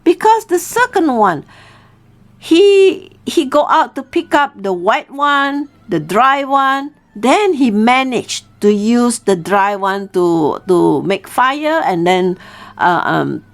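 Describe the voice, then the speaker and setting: Woman, unhurried pace, storytelling teacher, didactic, informal, frequent check-ins. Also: a little high, big pitch swings. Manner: didactic, often checks with "okay?". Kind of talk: informal storytelling lesson for learners.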